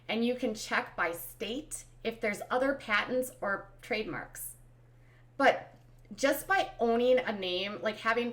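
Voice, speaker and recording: Woman, Minnesotan accent, commentary host; emphatic and exasperated, pitched a little high. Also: a bit bright. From Minnesota, USA. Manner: exasperated, emphatic, addresses viewers as "you guys". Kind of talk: casual commentary stream.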